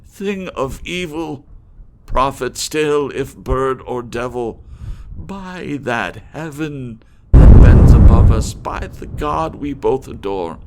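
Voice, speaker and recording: A man playing an anguished, grieving man who cries out at a demonic raven in theatrical, drawn-out verse, over rain and thunder rumble. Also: expressive. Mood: imploring. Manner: theatrical, pleading, drawn-out emphatic delivery. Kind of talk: dramatic Gothic poetry recitation.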